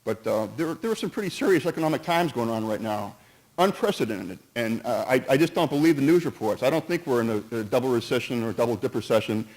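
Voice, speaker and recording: A man's voice: mid-range voice, animated, quick pace, a little mellow, poor audio. A man speaking at a town meeting, earnest and cautious, who stumbles, restarts and jumps between points while giving his opinion. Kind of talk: public comment at a town meeting.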